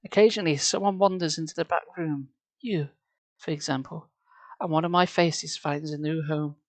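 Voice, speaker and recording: A reader voicing a sad, bitter male mask-shop owner who speaks softly and slowly, in a storybook reading. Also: mid-range voice, fairly even intonation, no room sound, close to an everyday voice. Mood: solemn. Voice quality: soft, hushed.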